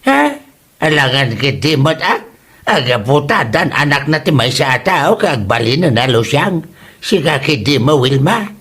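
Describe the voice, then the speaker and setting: A man playing a cranky village father who scolds in drawn-out exclamations in a comedy audio drama, with an Ilocano accent. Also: mid-range voice, very animated, a bit bright, slightly rough, heavily acted. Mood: mocking, scolding.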